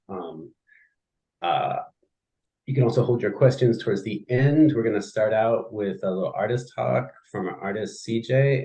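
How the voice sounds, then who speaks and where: A man hosting a live-streamed museum studio program, speaking loosely and haltingly with many fillers. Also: on the low side, mellow, poor audio. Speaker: studio programs manager, host. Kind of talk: casual live-stream introduction.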